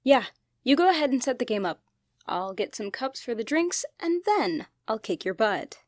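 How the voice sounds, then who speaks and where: A woman playing an expressive young genderfluid best friend in a conversational ASMR roleplay. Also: fairly high pitch, normal speed, no room sound, studio quality. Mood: playful, teasing. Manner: teasing, casual, expressive, apologetic. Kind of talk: ASMR roleplay, one-sided conversational acting.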